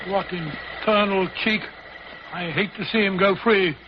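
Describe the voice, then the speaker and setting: High-pitched, blustering British sidekick. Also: male voice, unhurried. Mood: indignant. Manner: blustering, stammering. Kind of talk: old-time radio drama acting. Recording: dull, noisy vintage radio recording.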